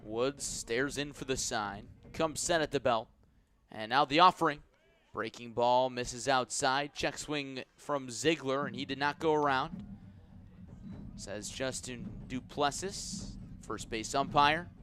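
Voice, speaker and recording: A man calling a baseball game live as a radio play-by-play announcer, with measured, descriptive delivery that lifts when the action picks up. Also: mid-range voice, fairly bright tone. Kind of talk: live sports commentary.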